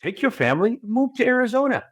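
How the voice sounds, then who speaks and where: A man's voice: very high-pitched, very animated, fast talker, fairly bright tone, no echo. Emphatic American man, dry close sound. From California. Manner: emphatic, rhetorical questions, leaning on "okay?". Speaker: spiritual guide, guest. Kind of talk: interview talk.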